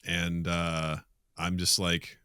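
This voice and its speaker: A man's voice: low voice, monotone, slow pace, sharp tone, clean tone, no room sound, clean studio sound. A middle-aged man co-hosting a casual podcast, venting with swearing and acting out imagined exchanges. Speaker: podcast co-host.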